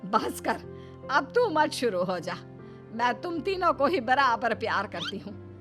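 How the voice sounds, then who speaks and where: A woman's voice: a little high, sing-song, a little slow, music in the background. A woman playing an older mother-in-law in a family story, caring but firm and admonishing. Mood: affectionate. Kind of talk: dramatised Hindi family story.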